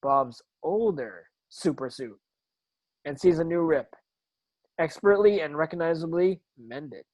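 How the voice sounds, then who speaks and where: A man's voice: on the high side, lively intonation, a little slow, a little mellow, dry recording, rough recording. A man narrating screenplay stage directions in a plain reading, occasionally stumbling over words.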